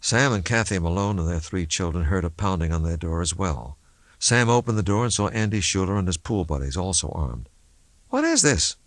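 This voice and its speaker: A man's voice: deep voice, brisk, dry recording. A man reading a suspense novel as an audiobook narrator, in dramatic scripted narration.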